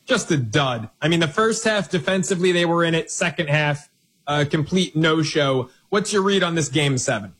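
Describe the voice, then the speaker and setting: A man hosting a live sports betting show, bantering briskly with a guest and running through lines and numbers. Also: on the high side, animated, a bit bright, slightly rough. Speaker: sports betting radio host.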